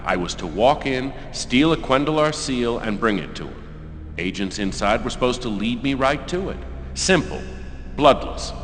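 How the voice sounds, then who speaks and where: An actor playing a desperate, bitter assassin in a fantasy video game, with a dramatic, sardonic delivery. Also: male voice, fairly low pitch, a bit bright, slight background noise. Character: desperate, bitter assassin, servant of a dark lord. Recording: long cavernous reverb under music.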